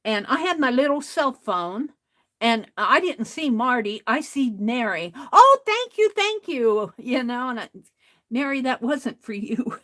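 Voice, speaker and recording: Loud-voiced woman chatting to her stream. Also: on the high side, sing-song, a little slow. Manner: loud voice, rambling with restarts. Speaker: art livestream host. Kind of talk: casual livestream chat to viewers.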